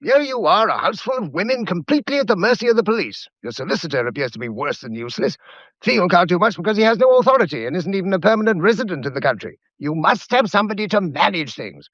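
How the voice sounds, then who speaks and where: A forceful, stubborn adventurer in a period radio drama. His voice is fairly high, brusque and declamatory. The recording is close and dry, slightly dull. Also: big pitch swings, fast, dry recording, heavily acted. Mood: defiant, insistent.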